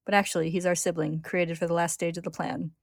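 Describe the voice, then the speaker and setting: A woman's voice: on the low side, flat delivery, quick pace, vocal fry, no echo, studio quality. A woman voicing a composed female homunculus villain who explains things coolly in a story reading. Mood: cool, smug.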